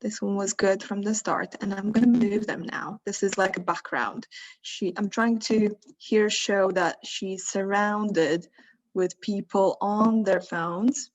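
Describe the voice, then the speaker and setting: Slow-talking woman. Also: medium pitch, steady tone, rough recording. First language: Croatian. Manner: thinks aloud while working, asks learners questions. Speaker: workshop instructor. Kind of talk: live online tutorial.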